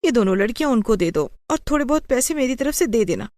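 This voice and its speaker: A woman's voice: fairly high pitch, lively intonation, quick pace, darkish tone, no echo, voice pushed up, natural voice. A woman voicing an anxious, pleading young married woman in a dramatised moral story. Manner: pleading, fretful.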